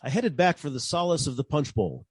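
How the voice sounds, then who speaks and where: A man plays a sardonic private eye in an old-time radio caper. His husky voice is fairly high and very animated, delivering wry detective narration and dialogue. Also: fairly quick, no echo, clean studio sound.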